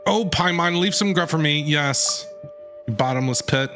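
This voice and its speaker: A man's voice: fairly high pitch, normal speed. A man streaming a game with casual, slangy commentary, repeating words for emphasis. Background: faint game music.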